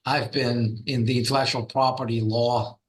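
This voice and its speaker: Russian-accented middle-aged male guest, mid pitch, conversational storyteller. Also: little pitch movement, moderate pace, poor audio. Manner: storytelling, frequent fillers and restarts. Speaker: guest, trademark firm founder. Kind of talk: podcast interview.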